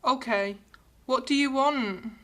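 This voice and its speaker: A woman's voice: high voice, takes it slowly, fairly bright tone. A woman playing a clever young girl, polite but cheeky, in a fairy-tale radio drama. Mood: anxious.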